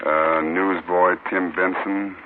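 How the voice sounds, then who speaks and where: Deferential patrol officer, vintage radio police drama. Deep register, factual delivery, dull archive sound. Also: male voice, flat delivery, slow pace, a little hiss or hum. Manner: factual, respectful. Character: dutiful, deferential male patrol policeman reporting a shooting. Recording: muffled old radio recording.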